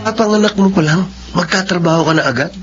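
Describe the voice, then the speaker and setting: A man's voice: high-pitched, fast, natural voice. A man playing a friendly, persuasive, caring Filipino co-worker in a radio drama, with a Filipino accent. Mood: concerned. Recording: dull radio audio under prominent music.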